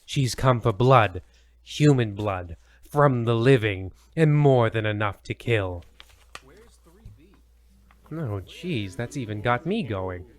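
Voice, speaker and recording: A man's voice: lowish voice, lively intonation, takes it slowly, long pauses. A man playing a hammy, ominous horror anthology host in a parody of old-time radio introductions. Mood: flustered. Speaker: host and narrator.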